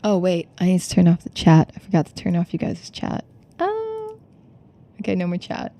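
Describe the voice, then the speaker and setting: A woman's voice: fairly low pitch, little pitch movement, fast, creaky, mellow. A woman streamer giving casual, playful commentary during a video game playthrough.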